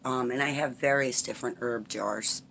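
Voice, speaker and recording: A woman with a raspy voice demonstrating an herbal recipe at home in a chatty, rambling way, often checking in with "okay?". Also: rumbling low, fairly even intonation, normal speed, fairly bright tone, slight background noise. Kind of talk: casual how-to tutorial. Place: home, indoors at a tabletop. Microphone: camera pointed at the table, speaker off-frame.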